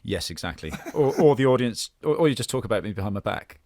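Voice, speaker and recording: A man with a fairly low voice chats casually about acting. He thinks aloud with a self-deprecating touch, close on the microphone in a dry bedroom. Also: animated, fast, a little mellow, dry recording. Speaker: actor and writer. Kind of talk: casual chat. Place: home bedroom. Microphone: studio-style microphone, close.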